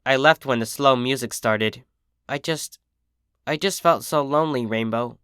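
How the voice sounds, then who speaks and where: A man's voice: mid-range voice, moderate pace, fairly bright tone, smooth, dry recording, studio quality, natural voice. Man voicing an earnest, insecure little pony filly. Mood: lonely. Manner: pleading, earnest, childlike. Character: young female pony filly, insecure, eager, adoring.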